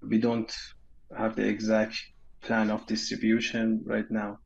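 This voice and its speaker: A low, gravelly man's voice with a Persian accent, speaking slowly and in an even tone. He hesitates often and fills the gaps with soft fillers. The sound is dull and lo-fi, like a video call from home. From Iran. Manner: halting, many uh fillers, laughs shyly. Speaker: guest animator. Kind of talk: interview answers, hesitant.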